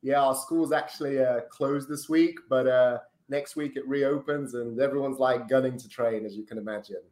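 Brazilian-born man, relaxed guest, roomy echoey sound. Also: mid-range voice, moderate pace. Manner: relaxed, chatty, many ums and tag questions. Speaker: podcast guest. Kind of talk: casual interview chat. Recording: roomy echo.

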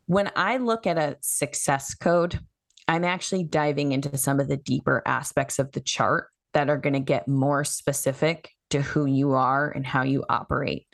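A woman's voice: a bass voice, steady tone, moderate pace, gravelly, dry recording, studio quality. A woman who works as a human design coach, explaining her methods as a podcast guest in a coaching tone. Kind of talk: podcast interview.

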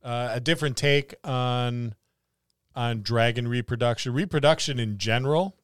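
Clear-voiced man in casual podcast chat. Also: mid-range voice, moderate pace, no echo, studio quality. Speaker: podcast co-host. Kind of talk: casual tabletop gaming discussion.

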